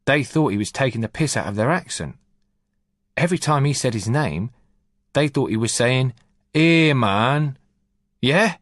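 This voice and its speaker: A man's voice: medium pitch, expressive, a little slow, pauses often, no room sound, clean studio sound, voice pushed up, lightly acted. A man voicing a cocky, streetwise army corporal, telling a story in a brash, swaggering way. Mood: boisterous.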